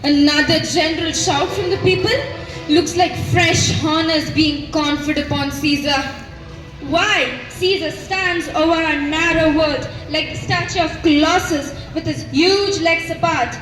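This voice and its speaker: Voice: high voice, steady tone, a little slow. A teenage student with an Indian accent acting a resentful, persuasive Roman conspirator in a school stage play. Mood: resentful, urgent. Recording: roomy stage recording with loud music.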